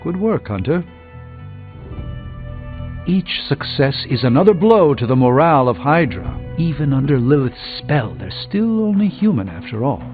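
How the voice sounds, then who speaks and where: Proud male sorcerer, formal, measured, wistful, theatrical game dialogue, muffled audio, music behind. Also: medium pitch, big pitch swings. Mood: pompous, approving. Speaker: video game voice actor. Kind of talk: dramatic video game dialogue.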